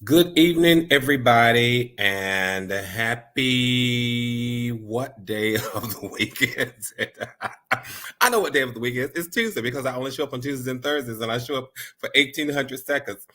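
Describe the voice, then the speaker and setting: A man with a stuffy, congested voice leads a live motivational stream at a mid pitch. He sounds upbeat and encouraging, and he leans on repeated phrases to drive his points home. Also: normal speed, bright, forceful tone. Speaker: motivational channel host.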